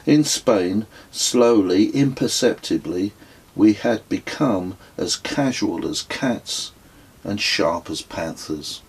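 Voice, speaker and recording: A middle-aged man reading his own reflective travel memoir as an audiobook, in a measured, literary and wry manner. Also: lowish voice, animated, a little mellow, slightly rough.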